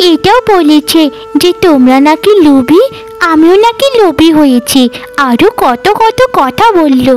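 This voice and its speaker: A woman voicing a worried, plaintive little village girl for a cartoon. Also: extremely high pitch. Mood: hurt, complaining. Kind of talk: Bengali cartoon dub acting.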